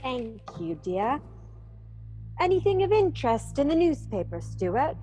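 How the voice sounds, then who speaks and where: An actress playing a coy, sweet young Edwardian wife in a period radio drama, hesitant and wheedling. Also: female voice, high voice, very animated, a little slow, mellow, faint music behind. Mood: sweetly wheedling.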